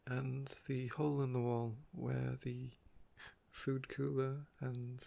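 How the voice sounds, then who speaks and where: A soft-spoken man with a croaky voice, telling stories about his day in an unhurried, wistful ASMR ramble. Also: medium pitch, little pitch movement. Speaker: ASMR video creator.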